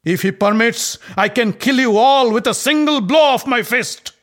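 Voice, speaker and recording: A man's voice: very high-pitched, normal speed, fairly bright tone, pitched above the performer's own voice. A man doing a roaring, furious warrior. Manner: roaring, threatening. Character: mighty enraged warrior, young man.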